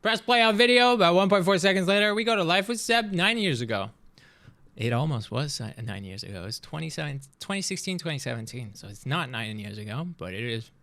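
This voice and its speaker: A man in his thirties chatting casually on a live stream, rambling and joking as he reacts to a video, his voice swinging between loud and soft. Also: on the high side, lively intonation, fairly quick.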